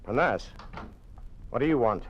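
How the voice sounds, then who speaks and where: A man's voice: mid-range voice, animated, measured pace, slight background noise. A man playing a defiant, suspicious doctor in a police state, blunt and challenging, in an old-time radio drama. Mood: alarmed, disbelieving. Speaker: actor. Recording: dull, lo-fi old radio recording.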